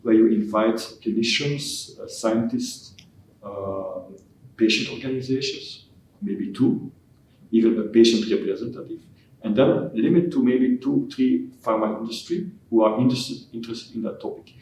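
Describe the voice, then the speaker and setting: A man from Belgium, a scientist answering interview questions in a halting way, often repeating words as he searches for phrasing. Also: medium pitch, a little mellow. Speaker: scientist giving concluding remarks. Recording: echoey hall, mic at a distance, faint noise.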